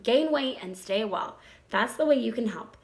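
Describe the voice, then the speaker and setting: A woman plays a wise motherly storybook spider. She is calm, collected and comforting, instructing with gentle firmness and a lilting, expressive range. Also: a little high, normal speed. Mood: gently firm. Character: motherly female spider, cool, collected, reassuring, gently firm.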